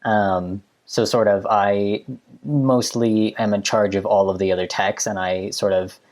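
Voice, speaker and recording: A man's voice: fairly low pitch, fairly even intonation, measured pace, a little mellow. Rambling teenage guy, full of "like". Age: late teens. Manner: rambling, heavy with filler "like". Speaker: high-school student, production assistant. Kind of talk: casual chat.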